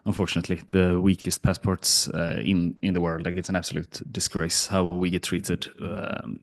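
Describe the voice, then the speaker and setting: A man raised in Sweden hosts a chatty podcast, reacting with animated, incredulous outbursts. His voice is fairly low. He sits at a studio boom microphone in a small room. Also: normal speed. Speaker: podcast host. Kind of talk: casual interview chat.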